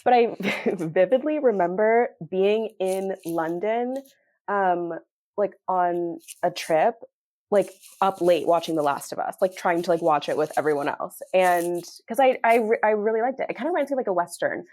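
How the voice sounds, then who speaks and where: Lower-pitched woman chatting casually. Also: normal speed, darkish tone. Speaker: podcast co-host. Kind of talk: casual conversational TV review.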